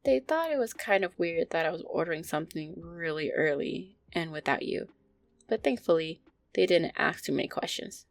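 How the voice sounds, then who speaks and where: Soft, lowish-voiced, anxious vampire girlfriend, acted by a woman. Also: expressive, moderate pace, vocal fry, no room sound. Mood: caring, upbeat. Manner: soft, tender, hesitant, sighing delivery. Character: gentle, anxious, affectionate centuries-old female vampire girlfriend. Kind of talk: intimate roleplay audio acting.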